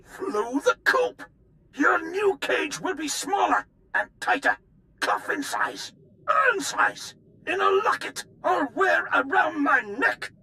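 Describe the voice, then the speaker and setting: An actor playing an unhinged, incoherent old military officer in a video game, ranting with paranoia and erratic bursts of shouting. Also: male voice, very high voice, big pitch swings, slow, heavily acted. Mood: gleeful menace. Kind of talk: theatrical video game voice acting.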